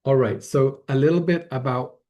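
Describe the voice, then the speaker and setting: A man gives an online presentation over a video call. His voice is mellow and dark. He hesitates a lot and restarts his sentences as he goes. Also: medium pitch, moderate pace. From Poland, grew up in England. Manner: hesitant, many fillers and restarts. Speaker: webinar presenter.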